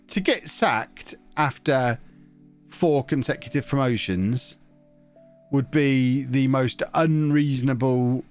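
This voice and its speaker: A man chatting casually on a live stream, joking and reading out viewer messages while he plays a football management game, with a slightly blocked nose. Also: medium pitch. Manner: chatty, joking, reads chat messages aloud, hums to himself.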